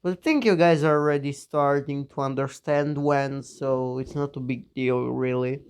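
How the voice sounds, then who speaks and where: A man's voice: medium pitch, fairly even intonation, normal speed, smooth, no echo, studio quality. A young man narrating a map scenario in a casual, rambling way, with offhand teasing asides; he is a bit sick. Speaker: mapping video narrator.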